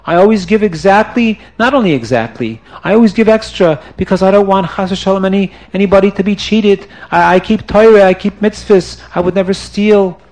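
A man voicing a pious, honest male shopkeeper who protests his innocence in an earnest, hurried way. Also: high-pitched, a little mellow, pitched above the performer's own voice, poor audio. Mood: indignant.

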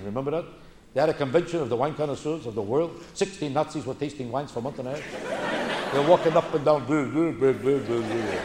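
A man's voice: fairly high pitch, darkish tone. An American man performing stand-up comedy in an indignant, emphatic ranting style, repeating phrases for punch. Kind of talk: stand-up comedy routine, ranting observational bits. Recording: echoey live room, clearly noisy recording.

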